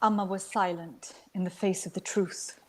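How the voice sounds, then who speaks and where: A woman from Sri Lanka reading literary fiction aloud as an actor, with measured, expressive storytelling. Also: mid-range voice. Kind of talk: dramatic literary narration.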